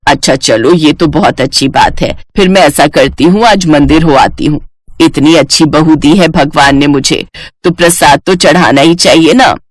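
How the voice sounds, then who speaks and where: A woman's voice: sits low, normal speed, dry recording. A woman voicing a middle-aged mother-in-law in a moral story, gushing in company and privately exasperated. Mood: proud, gushing. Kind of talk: animated Hindi story dialogue.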